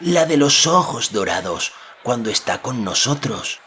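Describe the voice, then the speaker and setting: A man's voice: medium pitch, very animated, measured pace, a bit bright, a little hiss or hum, pitched above the performer's own voice. A man voicing an elderly, deferential royal counselor in an audiobook, hesitant and respectful. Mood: timid, cautious. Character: old male royal counselor, timidly objecting, deferential.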